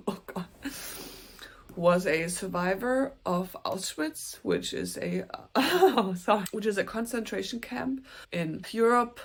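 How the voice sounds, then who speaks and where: A young woman with a German accent, chatting earnestly to camera about books she plans to read, with a few soft, self-conscious laughs. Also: medium pitch, takes it slowly. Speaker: booktuber. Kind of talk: casual book talk to camera.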